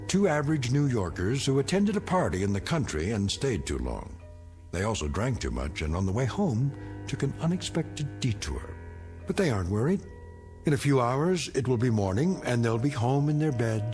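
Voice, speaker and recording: An actor playing a solemn, ominous narrator of eerie tales, measured, ironic and portentous in dramatic radio narration. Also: male voice, mid-range voice, sing-song, music in the background, natural voice. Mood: ominous, knowing.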